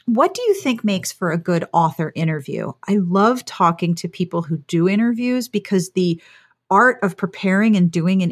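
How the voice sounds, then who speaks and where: Woman, lowish pitch, podcast host, casual conversation, self-deprecating humor, playful asides, close mic. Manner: self-deprecating, jokey, acts out little exchanges with herself. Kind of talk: casual conversational interview chat. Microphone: close microphone inside a foam-lined box.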